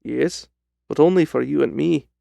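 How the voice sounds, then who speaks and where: Male narrator as police inspector, dignified, reproachful, heavy fry, dry sound, storybook mystery. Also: fairly high pitch, moderate pace, dark tone, no room sound. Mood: brisk.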